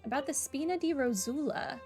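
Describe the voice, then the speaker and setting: A woman with a fairly high voice hosts a game playthrough. She chats casually and reads on-screen text aloud, with game music playing behind her. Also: a little fast, a little mellow. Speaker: gaming video host.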